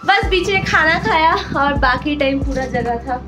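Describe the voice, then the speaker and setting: Young indian girl vlogging casually, really high voice, takes her time, faint music around. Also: animated, a little slow. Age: 20s. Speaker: vlogger and gaming streamer. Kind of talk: casual vlog chat mixing Hindi and English. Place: small rooms at home. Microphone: phone held at arm's length.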